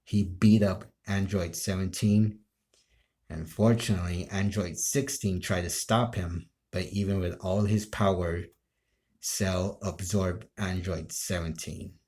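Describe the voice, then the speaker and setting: A man's voice: low-pitched, steady tone, unhurried, darkish tone. A man casually explaining his anime role play in a rambling way, repeating himself as he goes. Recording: echoey room.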